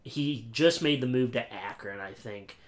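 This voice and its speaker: A man's voice: mid-range voice, unhurried. A young American man from Pennsylvania hosting a podcast in an informal, laid-back chat. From Pennsylvania, United States. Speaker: podcast host. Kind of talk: casual chat.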